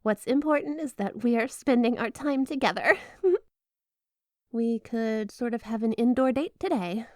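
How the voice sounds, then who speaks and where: A woman's voice: fairly high pitch, normal speed, no echo, clean studio sound, close to an everyday voice. A woman playing a flirty, obsessive yandere wife in an ASMR roleplay, gushing and giggly with a coyly menacing sweetness. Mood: affectionate, coy. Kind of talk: ASMR roleplay addressed to the listener.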